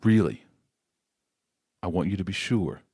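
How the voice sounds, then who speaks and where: A man playing a remorseful politician, the father of a grown daughter, in an audio play, speaking in a halting, earnest way. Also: low voice, darkish tone, slightly rough, no room sound, clean studio sound. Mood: resigned, careful. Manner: halting, earnest, repeats himself.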